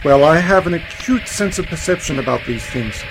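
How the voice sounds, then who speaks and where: A man playing an eccentric, knowing uncle and adventurer in an amateur science-fiction radio play, brisk and dismissive. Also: a little high, lively intonation, over music. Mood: assured. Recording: faintly noisy home-made radio play recording.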